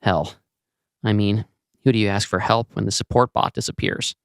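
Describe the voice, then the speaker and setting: A man's voice: lowish voice, steady tone, moderate pace, gravelly, clean tone, dry recording, clean studio sound. A man acting in an audio play as a bitter, grieving leader of an armed band of widows.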